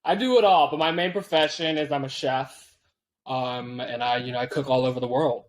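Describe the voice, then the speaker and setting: A man in his late twenties from Washington State, a guest talking over a video call, laid-back and quick to laugh. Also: medium pitch, steady tone. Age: 20s. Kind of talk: casual interview chat.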